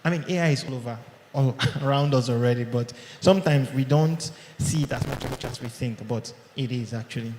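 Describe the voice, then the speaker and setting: Male speaker, mid pitch, conversational conference talk, keeps asking "okay?", booming hall reverb. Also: a little fast, background noise. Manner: conversational, checks in with "okay?" often. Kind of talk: live presentation to an audience. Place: large hall. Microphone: handheld or podium mic. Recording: echoey hall with long reverb.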